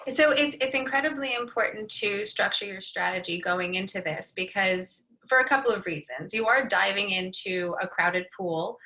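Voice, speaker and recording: A woman panelist giving a measured, advisory explanation over a video call. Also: fairly low pitch, pushed and bright, rough recording. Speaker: brand strategist, panelist. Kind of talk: explanatory presentation.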